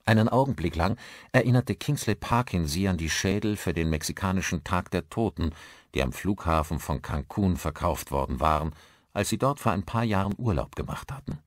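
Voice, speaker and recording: A man's voice: sits low, expressive, normal speed, no room sound, clean studio sound. A man reading scripted narration for a thriller audiobook.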